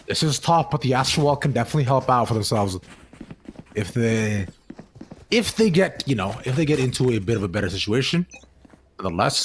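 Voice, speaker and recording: A man's voice: mid-range voice, slight background noise. A man doing live esports commentary on a video game, with casual banter.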